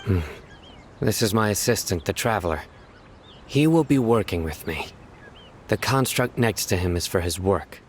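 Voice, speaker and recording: Stern general for a game, male actor: lowish, clipped orders, deadpan authority. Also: normal speed, pauses often, slight background noise, natural voice. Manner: terse, commanding, deadpan. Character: stern, aloof young male general and enforcer. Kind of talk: video game cutscene acting. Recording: music behind the voice.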